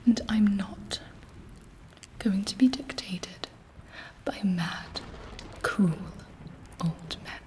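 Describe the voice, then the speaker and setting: An imperious vampire queen, acted out by a woman for an ASMR roleplay. She whispers breathily and very slowly, with measured pauses. Her manner is haughty, seductive and contemptuous, that of a schemer used to command. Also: mid-range voice, a little mellow. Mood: scornful.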